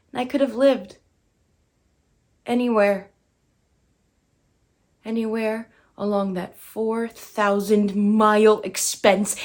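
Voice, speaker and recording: Woman acting a brash, bitter young woman, higher voice. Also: a bit bright. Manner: sardonic, emphatic, swinging into outbursts. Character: bitter, brash dead young woman recounting her death. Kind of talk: theatrical dramatic monologue.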